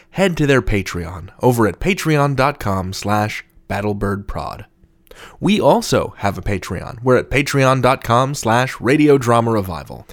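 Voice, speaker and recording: Wry mid-pitched man hosting a podcast. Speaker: podcast host. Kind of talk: podcast hosting and scripted outro.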